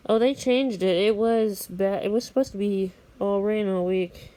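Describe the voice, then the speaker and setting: A woman's voice: medium pitch, moderate pace, dark tone. A woman giving casual, matter-of-fact, rambling commentary to a phone at home, half muttering to herself. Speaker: amateur weather radio vlogger. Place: room at home at night. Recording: faint background noise, handheld home recording.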